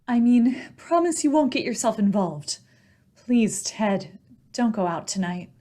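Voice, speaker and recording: Radio mystery wife, female actor: worried, evasive, fairly high voice with lively intonation. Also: unhurried. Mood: anxious, pleading. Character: worried, evasive young wife pleading with her husband. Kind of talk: old-time radio mystery acting.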